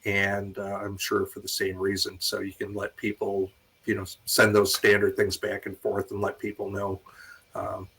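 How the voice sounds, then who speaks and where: A man's voice: lowish voice, completely flat delivery, moderate pace, fairly bright tone, slightly rough. An American man hosting an informal online question-and-answer session as moderator. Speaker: host and moderator of an online talk.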